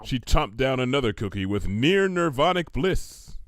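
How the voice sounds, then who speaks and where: A man reading a fan fiction story as narrator, in a measured scripted delivery with wry formality. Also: mid-range voice, big pitch swings, no room sound, clean studio sound.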